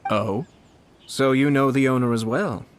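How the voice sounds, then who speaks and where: A man's voice: mid-range voice, measured pace, a little hiss or hum, natural voice. A man playing a composed, refined young lord in a video game, speaking politely and with formal measure. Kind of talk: video game character dialogue.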